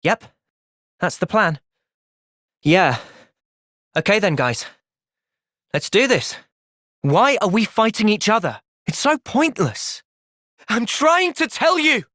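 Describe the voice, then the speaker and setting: Earnest young British game hero, expressive pitch. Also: male voice, slow, long pauses, no echo, studio quality, heavily acted. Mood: upbeat, resolute, then anguished, urgent. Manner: earnest, polite. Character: earnest, thoughtful young male hero, polite and determined. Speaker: video game voice actor. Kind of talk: video game cutscene dialogue.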